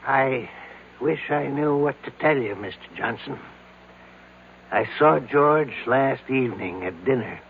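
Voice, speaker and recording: An actor playing a grave, baffled doctor in a 1970s radio drama, speaking in a measured, ominous way. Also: male voice, medium pitch, creaky. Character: grave, baffled doctor warning of a haunted mountain. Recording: muffled noisy old radio broadcast.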